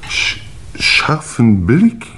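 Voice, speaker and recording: Old lord: weary, dazed, slow delivery with big swings in pitch, classic radio drama. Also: male voice, huge pitch swings, a bit bright, heavily acted. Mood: tipsy, dazed. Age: older. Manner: ponderous, halting, melancholy. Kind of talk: 1950s German radio play acting.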